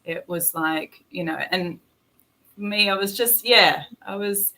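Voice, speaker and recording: A woman's voice: mid-range voice, unhurried, bright, forceful tone. A woman sharing a personal story in a casual panel discussion, with many fillers such as "you know" and "um".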